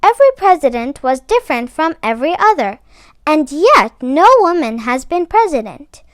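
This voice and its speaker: A woman reading a children's picture book aloud as a storyteller, phrasing deliberately and stressing key words. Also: high-pitched, fairly bright tone, dry recording. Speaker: read-aloud book club host.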